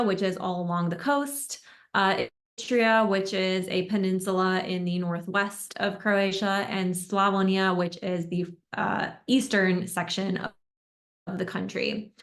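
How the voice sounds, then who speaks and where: Young american woman, presenting, friendly and halting, video call mic. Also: mid-range voice, fairly bright tone. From United States. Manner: friendly, many ums and uhs. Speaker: tour destination expert. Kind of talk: webinar presentation. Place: indoors at home in a room.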